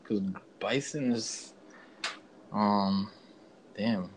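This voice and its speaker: Low-voiced man in casual podcast banter. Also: very slow, a little hiss or hum. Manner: slangy, blunt, thinking aloud. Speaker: podcast co-host. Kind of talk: casual banter, ranting movie review.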